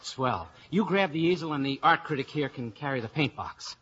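Casting: easygoing American male investigator, wry narrator, lively higher voice, 1950s radio. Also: moderate pace, slight background noise. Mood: breezy, casual. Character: breezy American investigator posing as a painter. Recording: muffled vintage radio broadcast.

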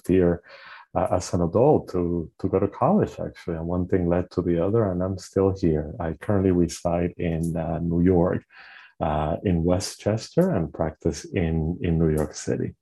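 Deep, husky-voiced man from Puerto Rico. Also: normal speed, dark tone, poor audio. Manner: thoughtful, with frequent restarts. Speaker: guest, clinical social worker. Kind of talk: conversational interview.

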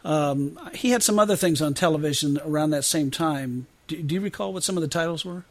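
A man interviewing a guest as a show host, conversational, with tentative, halting phrasing. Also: fairly high pitch.

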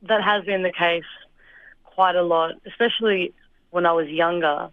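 A young woman from Australia answering interview questions in a thoughtful, hesitant way, with fillers and soft laughs. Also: on the low side, fairly even intonation, moderate pace, no room sound. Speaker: interview guest. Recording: dry, phone-like line.

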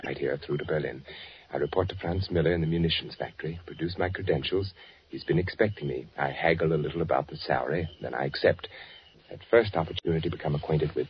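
An actor playing a shrewd undercover spy posing as an architect, speaking in a clipped, deliberate way in 1940s radio drama style. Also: male voice, very deep voice, slight background noise. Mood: wry, conspiratorial. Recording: dull, band-limited 1940s radio recording.

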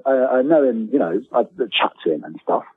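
A man's voice: mid-range voice, expressive, normal speed, breathy edge. A middle-aged British man chatting casually as an interview guest, self-deprecating, often repeating words as he tells anecdotes. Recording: thin phone-like line, roomy echo.

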